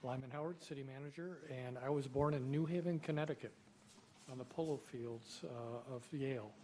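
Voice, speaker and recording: A man's voice: medium pitch, moderate pace, warm tone, slight background noise, low-quality recording. A city manager introducing himself at a council meeting, chatty and repeating himself as he chuckles through a story.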